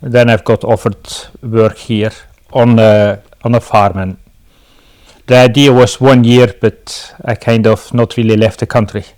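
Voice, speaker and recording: A man's voice: fairly low pitch, flat delivery, a little slow, darkish tone. A middle-aged man from Belgium with a Belgian accent, giving casual answers as an interview guest.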